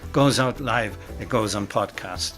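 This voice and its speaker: A man's voice: lowish voice, measured pace, a bit bright, faint music behind. A man with an Irish accent answering interview questions as a dramatist, repeating words as he explains. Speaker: radio dramatist being interviewed.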